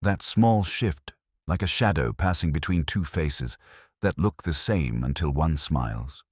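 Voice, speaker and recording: A man playing a melancholy, reflective police detective, narrating his case in solemn, deliberate literary phrasing. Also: rumbling low, animated, breathy edge, no room sound, studio quality, heavily acted. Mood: brooding, measured. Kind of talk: scripted first-person detective story narration.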